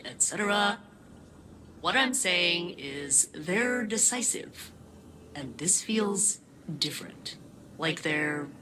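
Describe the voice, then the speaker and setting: A woman's voice: on the low side, sharp tone, slight background noise, exaggerated voice, rough recording. An actress voicing a nervous, rambling female ship AI in a video game. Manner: nervous, rambling, quick asides. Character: anxious, nerdy female AI persona of the ship.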